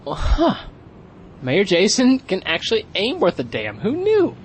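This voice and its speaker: A man acts out a wry sniper in an audio comedy. His voice is a little high and his banter is dry and sardonic, with faint rumble behind. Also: wildly swooping pitch, unhurried, exaggerated voice. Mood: wryly impressed. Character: wry, casual rifle sniper bickering with his brother. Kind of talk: audio comedy drama acting.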